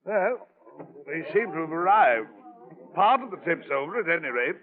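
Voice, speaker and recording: An actor playing a courteous, formal gentleman traveler with measured, polite phrasing in an old radio drama. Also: fairly high pitch, very animated. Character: courteous, formal gentleman traveler called Don, quietly anxious. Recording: thin, tinny vintage radio recording.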